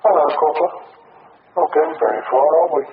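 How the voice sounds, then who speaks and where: Swooping, creaky-voiced taunting killer. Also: male voice, a little high, animated, brisk, heavily acted. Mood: mocking. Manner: mocking, theatrical. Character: taunting male killer, wounded and self-justifying. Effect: heard over a station public-address system. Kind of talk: radio crime drama acting. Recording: noisy, dull radio drama recording.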